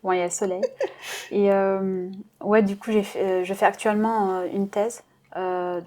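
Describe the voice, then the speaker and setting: A young woman from Lebanon, a doctoral student guest, speaks in a mellow voice. She answers hesitantly with frequent fillers and a modest manner. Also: mid-range voice, flat delivery, normal speed. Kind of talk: interview answers.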